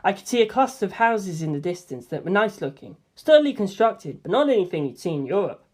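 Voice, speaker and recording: A woman's voice: mid-range voice, big pitch swings, brisk, darkish tone. A woman reading a novel aloud as a scripted first-person narration. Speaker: amateur audiobook reader.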